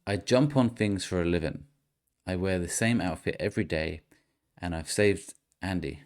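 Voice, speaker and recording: A man's voice: sits low, moderate pace, a little mellow. A man hosting a casual gaming podcast, running a quiz and reading clues aloud with easy chuckles. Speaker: podcast host.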